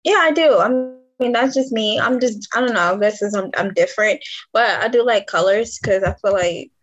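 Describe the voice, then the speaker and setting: A woman's voice: mid-range voice, a little fast, lo-fi sound. An American woman speaking casually as an interview guest, informal and prone to giggling. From Detroit, Michigan, US. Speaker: interview guest, fashion designer and author.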